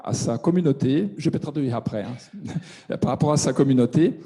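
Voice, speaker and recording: Middle-aged man, very dark tone, halting and full of restarts, mid pitch. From Brazil. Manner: hesitant, many restarts and fillers. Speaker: audience member asking a question. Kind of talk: spontaneous question from the floor.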